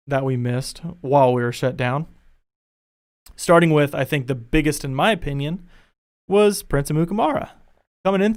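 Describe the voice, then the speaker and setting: A young man hosting a casual sports podcast from his home studio, chatty and energetic, his voice a bit worn. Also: a little high, clean tone. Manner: energetic, chatty, thinking aloud. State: losing his voice. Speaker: podcast host.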